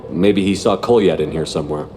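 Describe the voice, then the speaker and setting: A low-voiced male commander, played by a man in a video game, talking fast and clipped. He is businesslike and matter-of-fact, with faint music behind him. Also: lightly acted. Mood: focused, matter-of-fact. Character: businesslike, no-nonsense male military commander on surveillance.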